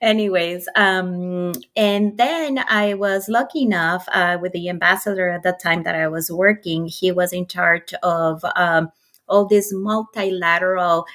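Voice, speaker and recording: A woman's voice: on the low side, measured pace. A middle-aged woman from Ecuador with a Spanish accent tells her career story casually and animatedly as an interview guest. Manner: animated, chuckles often between phrases. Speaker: interview guest, nonprofit marketing executive.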